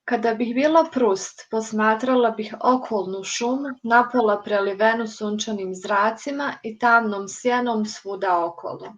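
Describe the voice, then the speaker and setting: A woman from Bosnia and Herzegovina reciting her poem in a measured way as a guest poet. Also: fairly high pitch. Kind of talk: poetry recitation. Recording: dull lo-fi online call audio.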